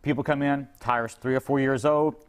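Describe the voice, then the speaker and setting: A middle-aged man with a medium-pitched voice talks as a guest expert on a local show. He explains practical things informally, in a folksy, conversational manner. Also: steady tone, moderate pace. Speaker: car repair shop expert guest.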